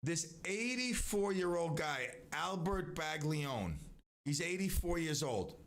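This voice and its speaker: A man's voice: on the high side, very animated, slow, fairly bright tone. A man hosting a live stream, talking in a casual, rambling way with many restarts and asides.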